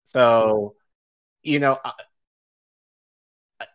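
An American man in his forties giving casual political commentary as a co-host, halting, colloquial and profane. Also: medium pitch, fairly even intonation, clean tone. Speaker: news show co-host. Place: indoor room at home, video stream.

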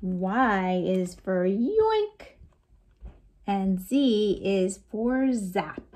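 Storytime host: American woman, 40s, lively and swooping delivery, very unhurried, playful with sounds, speaking in a small room. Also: mid-range voice. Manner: animated, sing-song, with playful sound effects. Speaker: children's librarian, storytime host. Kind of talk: read-aloud storytime for young children. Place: small lamp-lit room at home.

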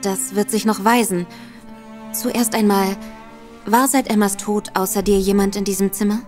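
A woman's voice: mid-range voice, level tone, normal speed, a little mellow, soft background music, lightly acted. A woman playing a poised, persuasive woman detective in a radio drama. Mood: gentle. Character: sharp, determined woman investigator.

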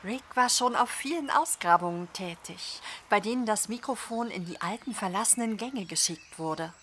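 A woman's voice: mid-range voice, moderate pace, fairly bright tone, a little hiss or hum, lightly acted. A woman playing a friendly podcast guest in a German audio drama, chatty and explanatory. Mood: matter-of-fact.

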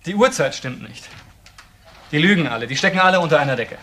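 A man's voice: a little high, lively intonation, quick pace, sharp tone, a little hiss or hum. A man playing an obsessive, insistent accuser in a German crime radio drama, brooding over every point. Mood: urgent, brooding.

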